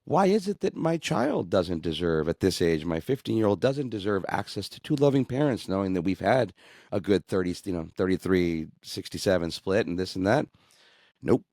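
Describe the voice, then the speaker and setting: A middle-aged man with a very low, deep voice, speaking earnestly and with frequent restarts. His tone rises when he gets indignant. The recording is dry and close. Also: animated, normal speed, a little mellow, dry recording. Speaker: guest sharing his divorce story. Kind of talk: candid conversational interview.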